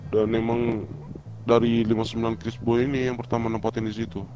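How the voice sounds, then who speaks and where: A man of medium pitch speaks in a hesitant, informal way as a player in a gangster roleplay. The voice chat sounds dull, and music is clearly heard underneath. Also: monotone, a little fast, mellow. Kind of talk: casual in-character roleplay talk. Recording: muffled lo-fi voice chat, music behind.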